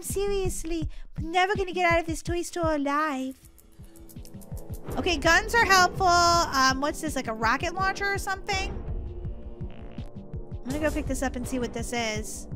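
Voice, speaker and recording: A woman with an excitable, exaggerated kid-show delivery, doing playful role-play commentary as a gaming host. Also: very high voice, normal speed, pauses often. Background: music behind the voice.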